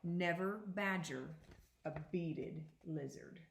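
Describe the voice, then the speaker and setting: A woman reading a children's picture book aloud as a second-grade teacher, with deliberate, explanatory phrasing. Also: on the low side, gravelly. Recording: echoey room.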